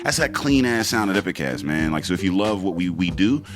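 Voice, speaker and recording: Low-voiced dude hosting a podcast in a studio, loose and chill, music under it. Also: male voice, very animated, brisk. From Pittsburgh, USA. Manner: laid-back, loose, swearing, many fillers. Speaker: podcast host. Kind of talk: casual podcast chat, reading sponsor plugs.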